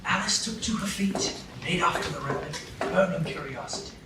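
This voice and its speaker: A male narrator tells a storybook tale in a slightly high voice for a live radio play. The scripted delivery comes from some distance, with echo and loud background noise. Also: a little fast. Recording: echoey, distant, very noisy stage recording.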